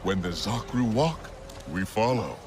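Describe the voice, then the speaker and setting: A man's voice: fairly low pitch, expressive, a little slow. An actor playing a jovial, hearty alien clansman welcoming a stranger in a video game cutscene. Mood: jovial, proud. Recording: music behind the voice.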